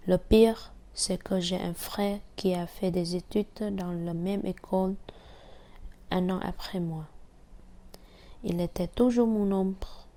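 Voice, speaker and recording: Slow, even Vietnamese-accented woman reading. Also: on the low side. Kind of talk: reading a personal essay aloud.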